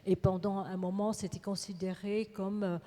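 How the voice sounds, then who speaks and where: Halting Vietnamese-born woman in a reverberant theatre. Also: medium pitch, level tone, dark tone. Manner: halting, searching for words, many restarts. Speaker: writer on a festival panel. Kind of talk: panel discussion. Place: large theatre on stage.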